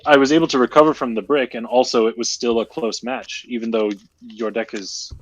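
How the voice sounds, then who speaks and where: A man's voice: medium pitch, fairly even intonation, brisk, lo-fi sound. A man chatting casually and joking with a friend while they play a card game on stream. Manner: joking, playful banter.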